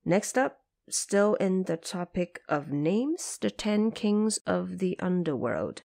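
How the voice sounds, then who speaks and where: A woman's voice: lowish voice, very animated, slow, gravelly, darkish tone. A woman hosting and narrating a scripted explainer in a didactic, carefully enunciated manner. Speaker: host and translator.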